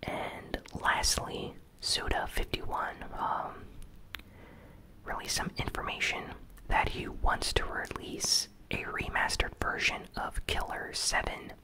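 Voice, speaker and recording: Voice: slow pace, rough recording. Whispered ASMR gaming news host. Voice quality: breathy, unvoiced whisper. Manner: casual, conversational.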